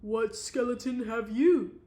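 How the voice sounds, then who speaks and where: A woman reading aloud, voicing a calm married woman with a deliberately low, measured voice. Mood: gentle. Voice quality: low, measured and soft-spoken.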